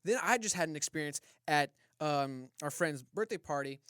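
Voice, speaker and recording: A man's voice: on the high side, expressive, moderate pace, a bit bright, clear, resonant tone, no room sound, clean studio sound. A man co-hosting a casual podcast, speaking in an opinionated, emphatic and challenging way. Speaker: podcast co-host.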